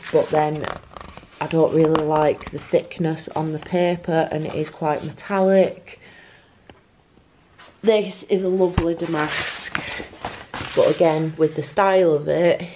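A woman's voice: deep voice, warm tone, a little hiss or hum. A woman, talking casually to camera as a home vlogger in a hesitant, musing way. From North of England. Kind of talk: casual vlog talk to camera. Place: living room at home.

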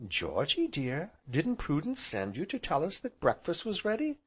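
A man doing a soft, earnest young lady. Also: a little high, very animated, a little slow, breathy edge, voice pushed up, full character voice. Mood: gentle. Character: gentle, soft-spoken young woman, earnest and passionate. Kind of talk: audiobook character voice.

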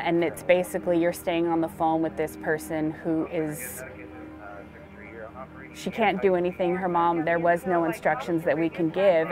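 A middle-aged American woman speaking earnestly and plainly in an interview as a supervising dispatcher. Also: deep voice. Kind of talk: candid documentary interview about work. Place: dispatch center office, indoors. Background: music.

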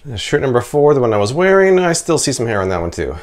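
A man's voice: medium pitch, very animated, fast talker, dry recording. A middle-aged American man in his forties giving a casual product review to camera. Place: covered outdoor patio and indoor laundry room. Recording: noisy background ambience.

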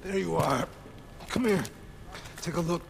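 Wounded, stern police lieutenant. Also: male voice, fairly high pitch, a little slow, gravelly. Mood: urgent. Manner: grave, commanding, mentoring. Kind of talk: video game cutscene acting. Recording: background noise, game ambience.

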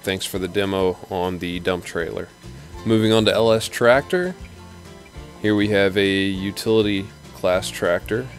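A low-voiced man narrates his stroll through a big indoor exhibition hall in an easygoing, plain-spoken way. It sounds like a handheld camera mic, and faint music plays underneath. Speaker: channel host. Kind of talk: casual walkthrough commentary.

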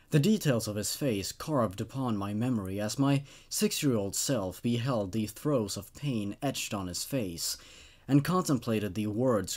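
A man's voice: medium pitch, darkish tone. A man in his twenties, a non-native English speaker, hosting and narrating horror stories into a close studio microphone. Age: 20s. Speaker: YouTube host reading horror stories. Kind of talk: story narration and casual commentary. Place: small dark indoor room. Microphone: studio microphone on a boom arm, close.

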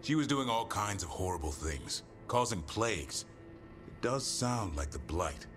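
An actor playing a serious, probing companion in a fantasy video game conversation. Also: male voice, on the low side, big pitch swings, a little slow, a bit bright. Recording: dialogue under clear background music.

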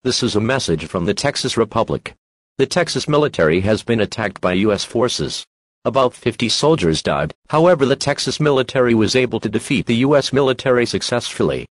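A man's voice: mid-range voice, animated, a little fast, no echo. Formal male emergency alert announcer, dry sound. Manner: formal, impersonal. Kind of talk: scripted emergency broadcast announcement.

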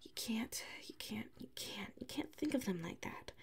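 A woman playing a flustered, defensive female classmate with a secret crush in an ASMR audio roleplay, huffy and halting. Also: on the high side, expressive, creaky, husky, heavily acted. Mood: flustered muttering. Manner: halting, huffy, defensive, slips into muttered whispers.

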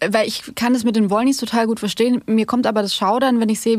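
A woman's voice: mid-range voice, no room sound, clean studio sound. A woman hosting a podcast, asking long, conversational interview questions.

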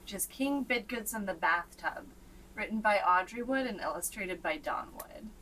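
A young adult woman reading a children's storybook aloud in a theatrical, expressive way. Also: medium pitch, normal speed, recorded from a distance, slight background noise, poor audio.

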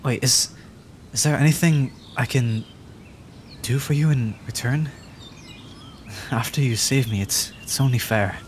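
A man's voice: medium pitch, measured pace, long pauses, slight background noise, close to an everyday voice. A man playing a nervous, adorkable young dragon, injured and frightened, stammering and apologetic in an ASMR fantasy roleplay. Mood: grateful, shy. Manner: stammering, hesitant, rambling, apologetic. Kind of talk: ASMR roleplay audio drama.

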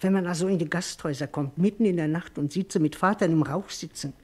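A man's voice: a little high, quick pace, darkish tone, lightly acted. A man acting as a village rag dealer. He is gossipy and insistent, wheedling in a folksy way. It is played as 1960s German radio drama. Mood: lamenting, insistent.

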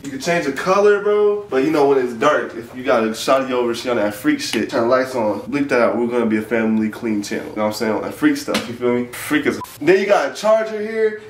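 A man's voice: a little high, slight background noise. A young man giving a joking, slangy tour of his house, often asking if you feel him. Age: young adult. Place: rooms of a house, indoors. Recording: echoey rooms, camera mic from a distance.

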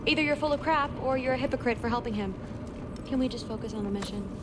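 A woman's voice: a little high, fast, close to an everyday voice. A woman playing a confident, brash teenage warrior girl in a video game, youthful and blunt in manner. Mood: skeptical, blunt. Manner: blunt, spirited. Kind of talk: video game cutscene acting. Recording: loud ambient game noise behind.

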